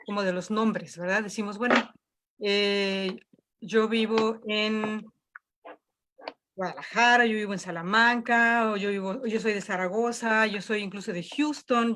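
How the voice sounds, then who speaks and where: A woman's voice: mid-range voice, a bit bright, slight background noise. A woman leading an online workshop from home, talking with many fillers and restarts. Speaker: workshop instructor.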